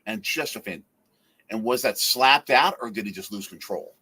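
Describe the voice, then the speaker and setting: A man hosting a casual watch-along stream, calling the plays in a lively, excitable way. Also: on the low side, moderate pace, husky, rough recording. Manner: lively, excitable, laughs often. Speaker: livestream host.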